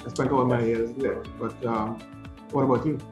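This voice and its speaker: A man, hosting an informal conversation in a halting, conversational way as a university principal. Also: mid-range voice, steady tone. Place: office, indoors. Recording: roomy office sound, faint music bed.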